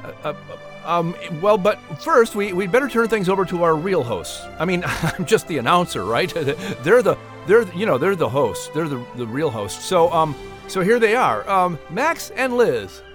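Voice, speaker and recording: A man's voice: high voice, big pitch swings, normal speed, music in the background. A man playing a nervous, fretful announcer in comic children's podcast banter, stammering and flustered.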